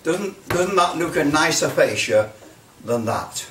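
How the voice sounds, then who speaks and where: A man giving a casual hands-on demonstration, halting and thinking aloud as he repeats phrases. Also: a little high, expressive. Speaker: presenter of a hobby project. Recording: roomy, distant mic, faint noise.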